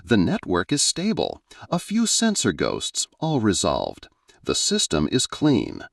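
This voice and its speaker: Man acting a corporate auditor, sci-fi story, calm front, formal, gravelly low voice, dry sound. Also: measured pace, dry recording, heavily acted. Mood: controlled calm. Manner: calm, controlled, reporting formally. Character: male corporate auditor hiding fear behind calm.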